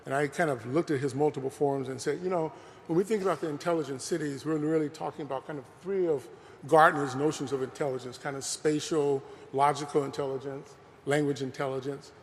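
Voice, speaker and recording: Guy giving a talk in a big echoey museum hall, kinda higher voice, earnest, lots of "you know". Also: fairly even intonation, fairly quick, a little hiss or hum. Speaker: lecturer presenting a talk. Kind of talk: conversational public talk. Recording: long hall reverb.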